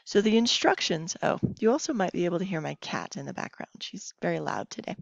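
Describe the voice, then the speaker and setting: A woman's voice: lowish voice, animated, brisk, gravelly. A woman teacher giving a recorded lesson, reading slides aloud in an instructive way with short check-in questions. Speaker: Spanish teacher. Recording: dull lo-fi, roomy home recording.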